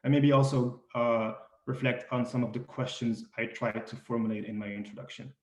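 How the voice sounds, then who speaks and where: A man's voice: medium pitch, steady tone, moderate pace. A man moderating a panel discussion, introducing guests with frequent ums and restarts. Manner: hesitant, many ums and restarts. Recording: echoey room.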